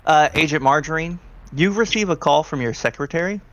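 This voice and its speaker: A man's voice: on the high side, fairly quick, a bit bright. A man running a tabletop roleplaying game as game master, narrating casually and putting questions to players.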